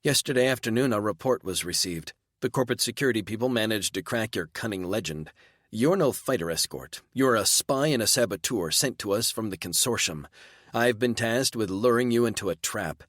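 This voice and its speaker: A man voicing a gentle young woman who is dying, speaking softly and pleadingly. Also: medium pitch, no echo, studio quality, voice pushed up, lightly acted.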